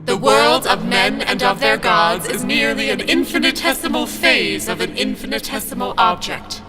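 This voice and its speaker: A solemn, otherworldly cosmic guide, played by a performer in a radio play, with prominent music behind. The delivery is measured and cryptic, as if revealing ultimate secrets. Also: mid-range voice, sing-song, natural voice.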